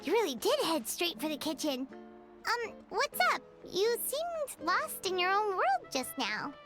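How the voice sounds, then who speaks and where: A woman voicing a tiny, childlike female fairy companion in a video game, squeaky, bubbly and chatty. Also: sing-song, a little slow, full character voice. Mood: curious, chatty. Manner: bubbly, refers to herself in third person. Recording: music behind the voice.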